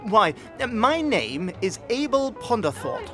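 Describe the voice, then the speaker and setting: An actor playing a portly, excitable gnome scholar, pompous and apologetic, who stammers through florid old-fashioned phrases in a fantasy audio drama. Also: male voice, high-pitched, a little slow, music in the background. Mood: eager, self-important.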